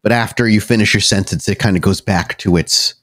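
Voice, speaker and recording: A man's voice: fairly low pitch, brisk, a bit bright. A man narrating a tabletop game as game master, descriptive and conversational, improvising as he goes.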